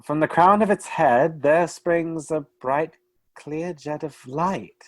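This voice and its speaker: Brief: unhurried radio-play narrator, exasperated edge, dry sound. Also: male voice, fairly high pitch, dry recording. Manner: indignant, exasperated asides.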